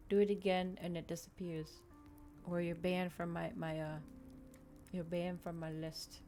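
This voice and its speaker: A woman's voice: fairly low pitch, little pitch movement, unhurried, warm tone, soft background music. A woman hosting a livestream, chatting casually with viewers in a rambling way, often repeating and restating her phrases. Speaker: VTuber livestream host.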